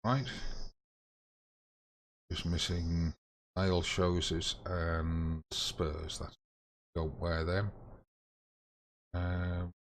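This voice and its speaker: A man's voice: low voice, flat delivery, very slow, long pauses, slight background noise. A man giving laid-back, casual commentary while playing a game, thinking aloud. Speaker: gaming streamer. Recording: commentary over game music and rain. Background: game music, rain sounds.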